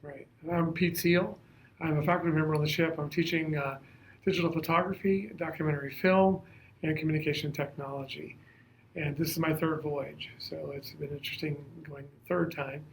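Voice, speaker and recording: A man's voice: on the high side, fairly even intonation, normal speed, creaky, a little hiss or hum, rough recording. A man from Colorado, a faculty member, explaining things plainly in a casual interview. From Colorado, USA.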